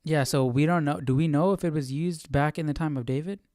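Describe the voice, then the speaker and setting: A man's voice: a little high, fairly quick, a little mellow, no echo, clean studio sound. A man hosting a casual Bible study stream, thinking aloud, asking questions and reading verses. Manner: questioning, musing. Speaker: Bible study livestream host.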